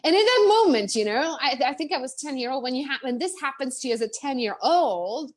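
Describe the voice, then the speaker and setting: A woman with a Czech accent, a guest speaker in a live-streamed interview, talking in a chatty, animated way with many restarts and fillers. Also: high-pitched, a little fast. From Czech Republic.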